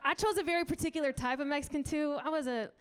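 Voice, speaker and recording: A young woman from Colorado doing a stand-up set on a club stage, wry and punchy, with quick asides to the crowd. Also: sits high, background noise. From United States (Colorado). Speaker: stand-up comedian.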